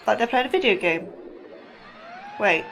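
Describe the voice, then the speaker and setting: An actress playing an anxious, sarcastic junior scientist in a sci-fi horror audio drama. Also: female voice, medium pitch, big pitch swings, moderate pace, vocal fry, a bit bright, a little hiss or hum. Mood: unsettled, questioning. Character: anxious, sardonic young female scientist on probation. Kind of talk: audio fiction acting.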